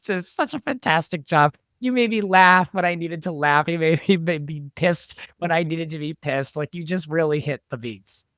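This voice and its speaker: A man's voice: on the high side, moderate pace, hardly any pauses, fairly bright tone, no room sound, clean studio sound. A man chatting casually on a podcast, effusive and emphatic, repeating words for stress.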